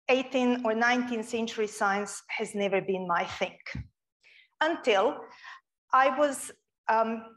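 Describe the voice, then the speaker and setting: A young woman from Croatia giving an earnest, expressive public lecture as a guest speaker in a lecture room. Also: a little high, rough recording.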